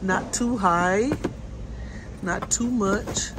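Slow-talking woman filming in a store. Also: mid-range voice. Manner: relaxed, reads labels aloud in short bits. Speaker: shopping vlogger. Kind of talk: casual shop-with-me narration. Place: indoors in a grocery store. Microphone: phone held while filming. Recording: loud store background noise.

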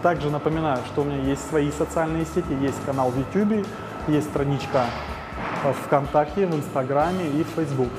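A man in his thirties, a fitness trainer, explaining ab exercises in a gym on a lapel mic, pausing with fillers. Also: a little high. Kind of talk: gym workout tutorial. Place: large indoor gym. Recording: echoey gym with music behind.

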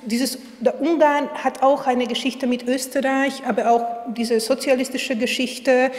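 A woman in her forties, a Hungarian author speaking German with a Hungarian accent, talking haltingly about her book at a festival. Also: sits high, steady tone, darkish tone. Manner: hesitant, searching for words. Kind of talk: literary reading and festival interview. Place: gallery room at a literature festival. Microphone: table mic on a stand. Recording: echoey hall.

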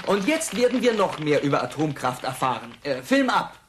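Higher-pitched, chirpy tour guide. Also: male voice, sing-song, unhurried. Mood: upbeat, promotional. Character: chirpy male power plant tour guide. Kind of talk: lecture in cartoon audio play. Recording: faintly noisy cassette.

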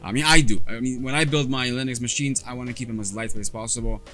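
A man in his thirties whose first language is Polish talks through a tutorial in a relaxed, rambling way, often restarting his sentences. His voice sits at a middle pitch and is picked up close on a clip-on mic in an indoor workshop. Faint music plays behind him. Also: fast talker. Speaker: data recovery technician. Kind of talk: casual screen-recorded tutorial. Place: cluttered workshop or lab, indoors. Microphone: clip-on lapel mic.

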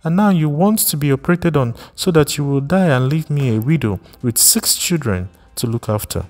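A shrill, tearful pregnant wife, wailing and scolding her husband in a storybook reading, as a male narrator puts her on. Her voice climbs high and loud in anguished outbursts. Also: lively intonation, measured pace, lightly acted. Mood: accusing. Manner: wailing, scolding, rising to cries.

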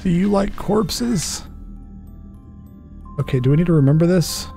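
A man's voice: sits high, animated, measured pace, gravelly, over music. A man in his thirties giving casual let's play commentary, thinking aloud in a jittery, self-deprecating way. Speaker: gaming video host.

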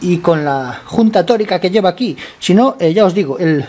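A man with a slightly hoarse voice from a cold, talking casually while he works on a motorcycle in a garage, explaining each step and often checking in with his viewers. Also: high-pitched, lively intonation, normal speed, dark tone. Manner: chatty, explains step by step, keeps asking ¿vale?. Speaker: motorcycle mechanic vlogger.

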